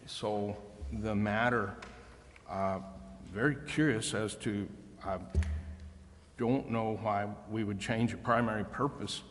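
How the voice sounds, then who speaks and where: A man speaking haltingly and deliberately as a council member making remarks at a public meeting. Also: on the low side, steady tone, soft background music. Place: indoor council chambers. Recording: reverberant hall, faint background noise.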